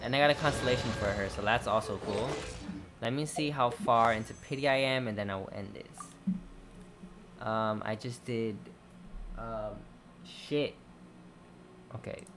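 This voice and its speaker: A gamer giving casual gameplay commentary in halting, muttered self-talk. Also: medium pitch. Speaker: gaming video creator. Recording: game music behind.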